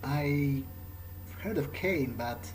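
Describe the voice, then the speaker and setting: A man improvising in character as he plays a video game, conversational, halting and thinking aloud. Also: fairly high pitch, slight background noise, poor audio. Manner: hesitant, musing, halting. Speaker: gamer playing in character.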